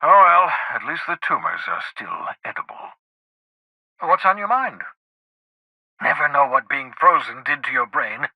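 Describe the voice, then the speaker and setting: An actor voicing an eccentric, erudite older renegade scientist in a video game, jittery and sardonic, with theatrical, wordy, precise delivery. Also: male voice, fairly high pitch, sing-song, unhurried, breathy edge, no room sound, dull audio, full character voice. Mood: flustered, eccentric. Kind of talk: video game character dialogue.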